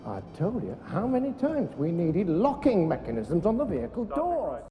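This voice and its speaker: An angry figure in an audio drama. His highish voice swings wildly in long reverb, under prominent music. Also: huge pitch swings, normal speed, crowd noise, exaggerated voice. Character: agitated, angry man storming down hallway.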